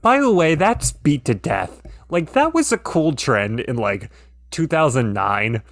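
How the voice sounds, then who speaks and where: A man's voice: a little high, sing-song, unhurried, dry recording, studio quality. A man co-hosting a podcast in casual chat, talking in a hesitant, rambling way with many restarts. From Pennsylvania. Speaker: podcast co-host.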